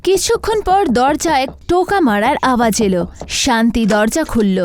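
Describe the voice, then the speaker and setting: High-pitched woman narrating horror. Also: lively intonation, moderate pace, a little mellow. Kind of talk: scripted horror story narration. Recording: music bed behind the voice.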